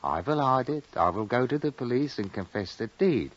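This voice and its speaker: An actor playing a shrewd, blunt baker who drives a bargain in a dry, matter-of-fact way, in old-time radio drama style. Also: male voice, medium pitch, animated, normal speed, close to an everyday voice. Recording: muffled vintage radio recording.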